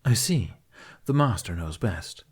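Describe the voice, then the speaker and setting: A man's voice: lowish voice, lively intonation, breathy edge, full character voice. Man acting a courteous, kindly seasoned warrior monk. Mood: friendly. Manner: polite, gentle, measured.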